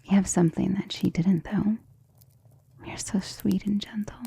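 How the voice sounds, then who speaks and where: A woman voicing a centuries-old vampire lover in an ASMR romantic roleplay, soft, breathy and often whispering, intimate and gentle, with formal wording. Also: mid-range voice, fairly even intonation, normal speed, no room sound, exaggerated voice. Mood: admiring, affectionate. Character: centuries-old female vampire lover, tender, protective, self-assured.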